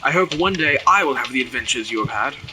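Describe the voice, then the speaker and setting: A man's voice: medium pitch, lively intonation, fast, background noise, lightly acted. An actor playing a deferential, earnest young oarsman in an audio drama, speaking formally and dutifully. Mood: earnest, respectful. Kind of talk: period audio drama acting.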